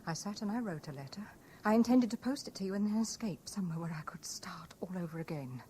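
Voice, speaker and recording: A woman's voice: on the low side, lively intonation, quick pace, mellow, close to an everyday voice. An actress in a 1970s radio drama playing a weary, distressed young woman, plaintive and restrained. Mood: sad, resigned. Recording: noisy vintage radio broadcast recording.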